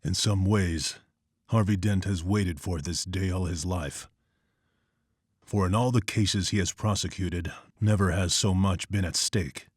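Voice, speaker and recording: A man voicing a grim, brooding masked vigilante in terse, measured, somber dramatic narration. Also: no room sound, studio quality. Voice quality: deep, husky.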